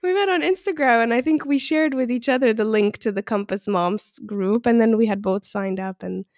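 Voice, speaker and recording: A woman hosting a birth story podcast as a doula, friendly and quick to laugh, with many fillers. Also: a little high, a little mellow, no echo, clean studio sound. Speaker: podcast host and postpartum doula. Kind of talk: podcast interview with scripted intro.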